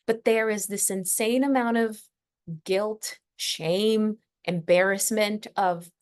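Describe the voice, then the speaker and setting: A woman hosting an astrology podcast, speaking unscripted in a reflective, emphatic way with deliberate pauses mid-thought. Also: medium pitch, steady tone. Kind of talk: unscripted spiritual astrology lesson.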